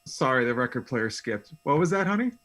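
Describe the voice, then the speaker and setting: A man's voice: mid-range voice, very animated, brisk, natural voice. A man acting as an affectionate, apologetic husband in a radio drama. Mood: apologetic, soothing. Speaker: actor.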